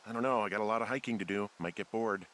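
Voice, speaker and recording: An actor voicing an easygoing American fire lookout in a video game, conversational, joking and deadpan. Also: male voice, fairly low pitch, racing, lightly acted, rough recording. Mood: curious, wry. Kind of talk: naturalistic video game dialogue. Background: faint background music.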